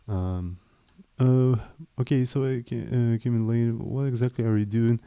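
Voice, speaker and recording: A man's voice: mid-range voice, fairly even intonation, normal speed, smooth, highs cut off. A man live-streaming his coding, speaking English as a second language in a hesitant, apologetic way with frequent restarts. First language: not English. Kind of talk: casual live stream chat, explaining code.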